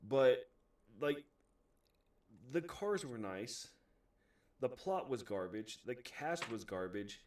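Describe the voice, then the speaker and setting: A man's voice: medium pitch, very animated, slow, pauses often, a little mellow, distant mic, slight background noise. A man hosting a video in a casual, opinionated ranting style, emphatic, exasperated and heavy on profanity. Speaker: video host reviewing movies. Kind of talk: casual opinionated rant to camera.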